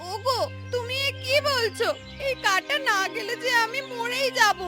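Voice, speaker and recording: A woman's voice: very high voice, moderate pace, over loud music. A woman voicing a whiny, melodramatic married woman who loves food, in a fairy-tale cartoon. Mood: desperate pleading. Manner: whining, melodramatic complaining.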